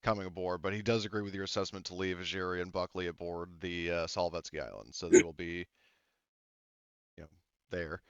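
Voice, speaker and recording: A man's voice: sits low, fairly even intonation, brisk, a bit bright, smooth, no echo, highs cut off. A man running a tabletop game as game master, talking in a loose, casual way, swearing freely and voicing side characters. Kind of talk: game master narration and rules talk.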